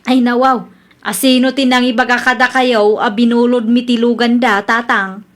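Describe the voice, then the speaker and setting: A woman's voice: a little high, fairly even intonation, moderate pace, natural voice. A woman playing a boastful, stubborn, cheerfully bossy wife in an Ilocano comedy radio drama, with an Ilocano Filipino accent.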